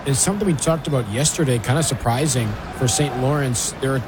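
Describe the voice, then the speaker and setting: A man's voice: mid-range voice, brisk, noisy background. A man giving easygoing, lightly teasing color commentary on a hockey game. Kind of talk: casual sports commentary.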